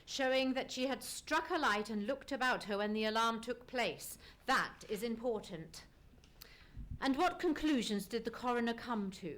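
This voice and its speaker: Radio-play detective played by a woman: keen, commanding, formally inquisitive, fairly high voice with very varied intonation. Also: animated, moderate pace, fairly bright tone. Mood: probing. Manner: crisp, formal questioning. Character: keen, probing, authoritative Victorian consulting detective. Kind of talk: live old-time radio drama acting. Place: theatre stage.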